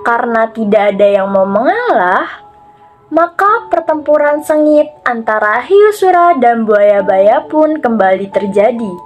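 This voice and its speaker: Young woman telling a kids fable, high voice, takes her time, soft music behind. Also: expressive, a little slow. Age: young adult. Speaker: student storyteller. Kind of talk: scripted children's fable narration.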